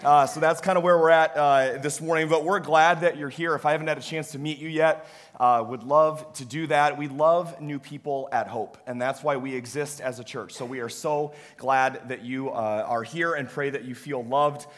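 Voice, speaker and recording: A young man, a campus pastor preaching a sermon in a church with a hoarse, weakened voice, telling folksy, self-deprecating stories. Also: on the high side, steady tone, moderate pace. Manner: folksy, self-deprecating storytelling with many uh fillers. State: hoarse, voice weaker than usual. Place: church sanctuary.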